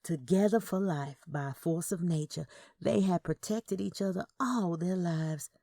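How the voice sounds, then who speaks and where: A woman's voice: fairly low pitch, unhurried, creaky, mellow, dry recording, studio quality. A woman reading a scripted first-person story as its narrator.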